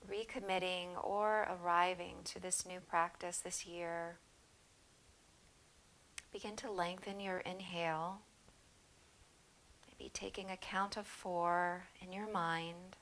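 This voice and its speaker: Yoga teacher, female, lowish voice, unhurried soothing guided instruction. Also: rough recording. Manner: slow, soothing, calm guiding cues.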